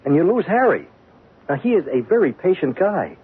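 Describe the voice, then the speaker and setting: An actor playing a blunt brother scolding his sister in a radio drama, brisk and admonishing. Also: on the high side, very animated, husky, exaggerated voice. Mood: nagging, chiding. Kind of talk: 1970s radio drama acting. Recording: muffled lo-fi old radio recording.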